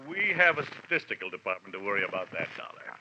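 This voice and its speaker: An actor playing a pompous, blustering middle-aged insurance manager in a 1940s radio drama, talking gruffly and haltingly. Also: male voice, deep voice, very animated, bright, forceful tone, full character voice. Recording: muffled old radio recording with faint hiss.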